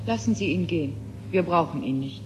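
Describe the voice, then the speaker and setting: A woman's voice: on the low side, fairly quick, noisy background, lightly acted. An actress playing an artificially created alien woman in a German science fiction radio play, emotionless and naive, speaking in short, literal sentences. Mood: cold. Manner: detached, literal questioning in short flat sentences. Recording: dull 1970 radio recording with music.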